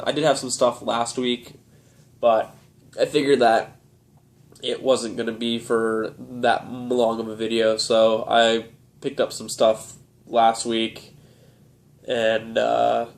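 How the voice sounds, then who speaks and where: A man's voice: medium pitch, gravelly. A young man with a cold, sounding stuffed up and nasal, chatting casually through a collector's show-and-tell vlog with lots of "uh"s. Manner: relaxed, rambling, many "uh"s. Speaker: video game collector vlogger.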